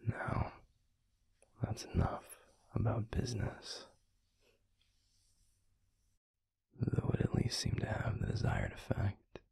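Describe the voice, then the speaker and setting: A man performs a protective alpha werewolf boyfriend for an intimate audio roleplay. His voice is very husky and breathy, often dropping to a near whisper. He speaks slowly and soothingly, with a gentle, tender care. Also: lowish voice, wildly swooping pitch, dry recording, exaggerated voice. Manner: slow, soothing, unhurried. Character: gentle, protective adult male werewolf boyfriend, pack leader.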